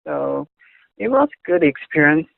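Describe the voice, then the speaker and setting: Young man, Korean accent, non-native English, slow and hesitant, dry tinny line. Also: fairly high pitch, big pitch swings, creaky, no room sound. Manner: halting, searching for words, frequent restarts. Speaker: interviewee. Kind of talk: casual conversation in English. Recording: tinny phone-like audio.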